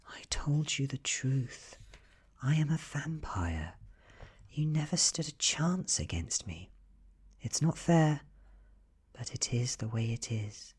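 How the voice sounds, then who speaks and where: A man with a British accent voicing an ancient female vampire from a horror audiobook, gentle, coaxing and coldly menacing. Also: medium pitch, very animated, slow, pauses often, slightly rough, voice pushed up, full character voice. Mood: gently pitiless. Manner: soft, coaxing, whispery. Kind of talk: ASMR audiobook reading.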